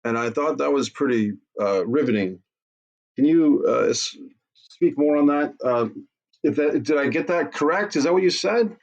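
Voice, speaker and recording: A man's voice: a little high, expressive, moderate pace, gravelly, dull audio. A man guest-hosting a podcast, asking friendly questions with some hesitation. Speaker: guest host. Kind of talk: casual interview.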